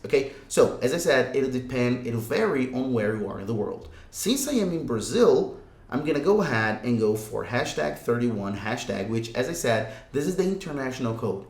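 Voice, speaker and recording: Animated man hosting a tutorial, roomy sound. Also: medium pitch. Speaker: tech tutorial host. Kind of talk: casual step-by-step video tutorial. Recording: roomy, echoing room sound.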